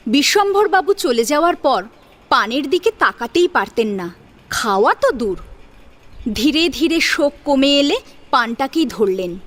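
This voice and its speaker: A woman's voice: high voice, a bit bright. A woman narrating a story in a dramatic audiobook style. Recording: sitar music behind the voice. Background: plucked sitar music.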